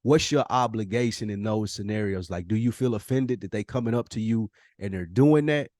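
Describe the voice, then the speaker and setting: A man's voice: lowish voice, fairly quick, a little mellow, no echo, clean studio sound. A man co-hosting a podcast in casual chat, teasing his friend and acting out quoted voices. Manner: joking, teasing, acts out quotes. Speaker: podcast co-host.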